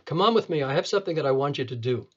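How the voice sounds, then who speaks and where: A man in his seventies from Canada, a retina surgeon reminiscing in an interview, halting and often repeating words as he goes. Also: a little high, lively intonation, slightly rough, muffled recording. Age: 70s. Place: small clinic office, video call.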